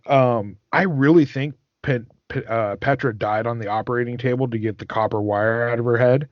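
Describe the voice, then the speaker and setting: A man hosting a casual podcast, chatting like a fan and haltingly repeating words as he works out his questions. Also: mid-range voice, dry recording. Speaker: podcast host. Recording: dry but dull, lo-fi microphone.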